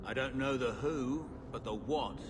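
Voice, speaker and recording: A man playing a rough, cynical mercenary boss with a coarse, slangy way of talking, as in a video game. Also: mid-range voice, lightly acted. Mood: gruff, businesslike. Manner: coarse slangy drawl with measured pauses. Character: rough, cynical male mercenary boss, streetwise crime broker. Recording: loud noise behind the voice.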